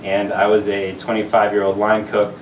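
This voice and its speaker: Man giving a groom's toast, low voice, halting and full of fillers, echoey room, heard from across it with loud background noise. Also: monotone, recorded from a distance. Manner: halting, many fillers, laughing asides. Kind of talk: casual toast at a party. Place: party venue with crowd.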